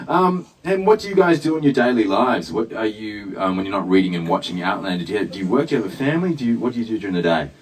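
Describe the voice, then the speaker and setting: A man's voice: on the low side, animated, quick pace, few pauses, recorded from a distance, rough recording. A man hosting a live podcast panel on stage, self-deprecating and hesitant, with lots of ums. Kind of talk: live stage chat with audience guests.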